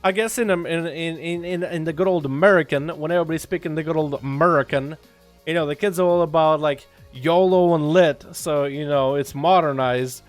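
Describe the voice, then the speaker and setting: A young man with a slight Russian accent chats loosely on a game stream, joking and calling his viewers "boys". His voice sits a little above the usual pitch for a man. Faint music plays behind him. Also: fairly quick. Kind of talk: casual livestream chat.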